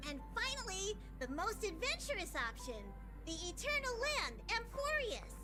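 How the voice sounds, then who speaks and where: A woman's voice: extremely high pitch, big pitch swings, moderate pace. A woman voicing a small creature who conducts a train and announces options, speaking of itself in the third person. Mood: announcing. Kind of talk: video game voice acting. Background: loud game music.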